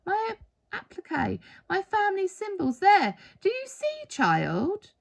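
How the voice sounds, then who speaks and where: Storybook role, played by a female reader: elderly woman, sorrowful Holocaust survivor, heartfelt and halting, expressive swings in pitch. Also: creaky, heavily acted. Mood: shocked, tearful.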